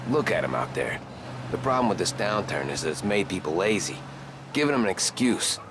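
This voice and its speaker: A man's voice: sits low, sing-song, brisk, noisy background. An actor playing a smug, impatient businessman passenger in a game cutscene, brusque and condescending. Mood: smug, lecturing. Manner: brusque, pompous.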